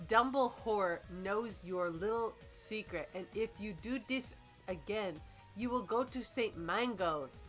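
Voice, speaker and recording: Woman, bright and slow-paced, jokey co-host doing mock-dramatic readings with casual swearing, light music behind. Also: mid-range voice. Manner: jokey, profane, halting over misspellings. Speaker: co-host reading fanfiction aloud and critiquing it.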